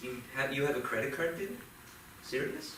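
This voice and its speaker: A man's voice: mid-range voice, moderate pace, lightly acted. An actor playing a cautious, sardonic teenage boy, dry and reluctant, in slangy staged-reading dialogue. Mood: doubtful, dry. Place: theatre stage before the mic at a distance. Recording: echoey theatre, distant mic, clear noise.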